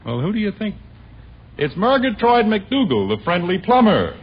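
A man's voice: high voice, huge pitch swings, normal speed, husky, full character voice. An actor playing a genial, mildly exasperated American husband and father in a 1950s radio sitcom. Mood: cheerful, teasing. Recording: muffled old radio broadcast.

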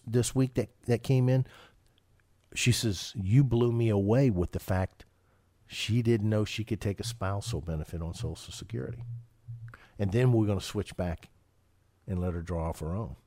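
A man's voice: lowish voice, moderate pace, a little mellow, dry recording. A man hosting a financial radio show, talking casually and often restarting his sentences with repeated words.

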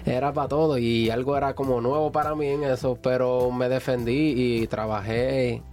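A young man from with a naturally raspy voice, telling his story as a guest on a radio show. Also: medium pitch, steady tone, moderate pace, darkish tone. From Puerto Rico. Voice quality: hoarse, raspy voice. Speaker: guest singer and radio announcer.

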